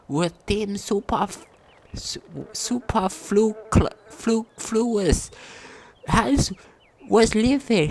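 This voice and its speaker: A woman reading a diary aloud during a game playthrough, sometimes stumbling over longer words. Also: a little high. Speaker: game commentator.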